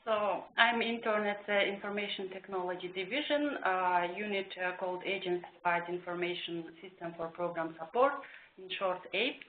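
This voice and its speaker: A young woman from Kyrgyzstan in her twenties, an intern, introducing herself hesitantly with frequent pauses. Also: medium pitch, slight background noise. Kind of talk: self-introduction. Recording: tinny phone-like sound in an echoey room.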